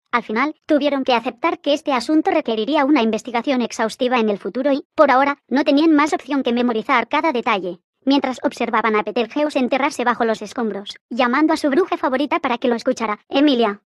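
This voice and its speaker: A woman reading a translated fanfiction aloud in steady, even narration. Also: high-pitched, dry recording, clean studio sound. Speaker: fanfiction reader.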